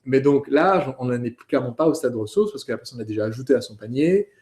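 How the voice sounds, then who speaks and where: Male course host, mellow mid-pitched voice, conversational explainer who thinks aloud. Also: lively intonation, fast talker. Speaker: host of a business training course. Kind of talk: conversational teaching, interviewing a colleague.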